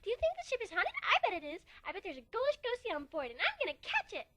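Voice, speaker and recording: A man voicing a cheerful, excitable pegasus mare in a squeaky, gushing, sing-song voice for an amateur dramatic story reading. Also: quick pace, exaggerated voice. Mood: bubbly, excited. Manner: bouncy and excited, teasing. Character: cheerful pegasus mare, bubbly, turns demented.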